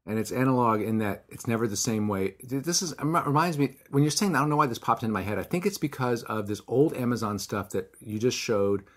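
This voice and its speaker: A man with a hoarse, raspy voice hosting a podcast interview in a wry, rambling way. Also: medium pitch, a little fast, few pauses. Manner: rambling, self-deprecating, wry. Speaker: podcast host. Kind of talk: casual conversational interview.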